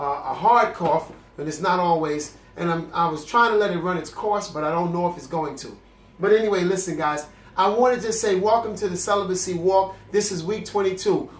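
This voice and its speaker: A young man with a fairly high voice speaks about his faith, congested and coughing a little. He talks to the camera in an earnest, preacherly way, often restarting his sentences. The room gives his voice a noticeable echo. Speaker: host of a celibacy video series. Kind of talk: candid faith talk to camera. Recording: echoey room.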